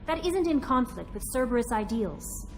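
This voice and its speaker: An earnest, friendly starship assistant. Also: female voice, a little high, big pitch swings, moderate pace, slight background noise. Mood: upbeat, sincere. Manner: upbeat, earnest, personable. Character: friendly, earnest young female starship yeoman, eager and admiring. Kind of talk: video game voice acting.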